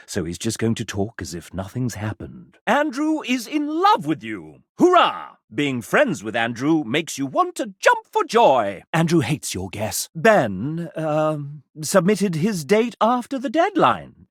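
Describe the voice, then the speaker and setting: A man's voice: fairly high pitch, dry recording, clean studio sound, exaggerated voice. An actor playing a cheeky, theatrical game-show host in a video game, a man with a British accent and flamboyant, arch patter. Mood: showy, then wry, doubtful. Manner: flamboyant, arch, grandly enunciated showman patter.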